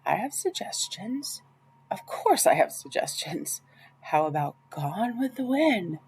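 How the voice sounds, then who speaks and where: A woman voicing a genteel, dramatic elderly female librarian from a storybook, with an emphatic, drawn-out, proper way of talking. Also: mid-range voice, very animated, darkish tone, slight background noise, pitched above the performer's own voice, low-quality recording. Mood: eager.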